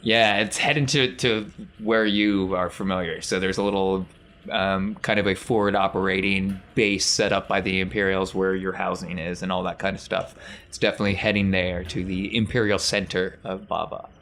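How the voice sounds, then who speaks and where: A man's voice: low voice, little pitch movement, normal speed, a bit bright, a little hiss or hum. A man working as game master, casually improvising scene narration for a tabletop game.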